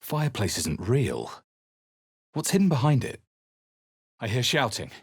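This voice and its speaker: A man voicing a wary, wry adventurer hero in a video game, with a husky voice and terse, theatrical delivery. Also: mid-range voice, wildly swooping pitch, measured pace, no echo, clean studio sound, exaggerated voice. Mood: watchful. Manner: terse, theatrical, emphatic on incantations. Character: young-adult male adventurer hero, alert, wry, chanting spells. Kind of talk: video game character barks and spell incantations.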